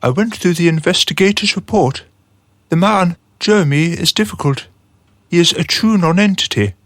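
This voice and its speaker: Man to play eager junior underling for radio drama: earnest, deferential, hesitant, high boyish voice. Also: moderate pace, dry recording. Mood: nervous, formal. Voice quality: boyish, light voice. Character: meek, earnest young male trainee called Boy.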